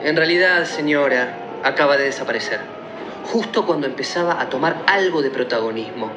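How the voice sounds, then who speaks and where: Man playing a dry young gentleman, roomy sound. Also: fairly high pitch, a little fast, music in the background, close to an everyday voice. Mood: polite, blunt. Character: polite, blunt young gentleman, slightly sardonic. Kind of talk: radio play acting.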